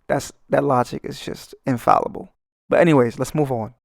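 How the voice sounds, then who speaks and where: A man's voice: medium pitch, fairly even intonation, normal speed, creaky, no room sound, clean studio sound. A young man with a Brooklyn accent giving swaggering, profane, joking commentary while he draws. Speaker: artist and channel host. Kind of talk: rambling comedic commentary over a speedpaint.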